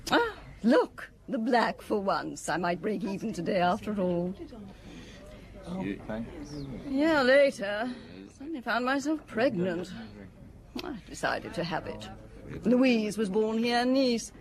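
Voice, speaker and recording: An actress playing a callous middle-aged former dancer and gambling addict in a radio drama, breezy and distracted amid a casino crowd. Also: female voice, on the high side, very animated, slow, long pauses, full character voice. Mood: flippant, wry. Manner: breezy, distracted, chuckling offhandedly. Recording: busy crowd, casino scene. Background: casino crowd chatter.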